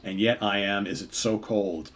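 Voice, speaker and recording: Man reading cold newborn female robot, formal, wondering, low register. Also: steady tone, moderate pace, fairly bright tone, slight background noise, close to an everyday voice. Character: newly awakened female android, cold, wondering.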